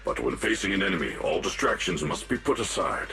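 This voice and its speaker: An actor playing a stern but humble martial arts master in a video game, speaking in a measured, grave, lecturing way with a gravelly voice. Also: male voice, deep voice, sing-song, bright, forceful tone, full character voice. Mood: calm, humble. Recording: roomy echo, music underneath.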